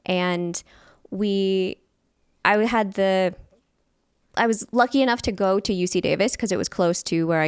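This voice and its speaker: A woman in her forties talking as an interview guest. Her voice is smooth and clear, recorded close and dry with no room sound. She chats openly and easily. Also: lowish voice, no room sound. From California, USA. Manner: candid, chatty storyteller. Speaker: guest, fermentation specialist. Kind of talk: casual interview chat.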